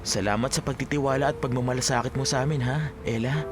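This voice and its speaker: A performer acting as a dutiful Filipino farmer's child in a radio drama. The mid-pitched voice is gentle, polite and consoling, with music clearly playing behind it. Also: steady tone, quick pace, close to an everyday voice. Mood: grateful, surprised.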